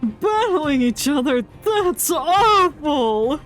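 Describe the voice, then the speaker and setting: Unhurried woman streaming a game. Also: high voice, sing-song, faint music behind. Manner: playful, mock-dramatic asides. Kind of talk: casual live game commentary.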